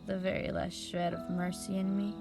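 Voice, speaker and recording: Woman acting as a wounded vengeful sorceress, lowish voice, emotional halting delivery, audio drama w music behind. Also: flat delivery, vocal fry. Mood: cold, resolute. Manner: emotive, deliberate, halting with pauses. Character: traumatized, vengeful female mage recounting her past. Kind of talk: dramatic audio roleplay monologue.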